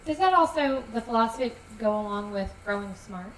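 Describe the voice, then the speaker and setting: A woman hosting a panel, giving brisk, short cues in a large tent. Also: a little high, expressive, fairly bright tone. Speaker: host and moderator. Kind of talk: panel moderation. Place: large tent at an outdoor event. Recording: roomy sound from some distance, faint noise.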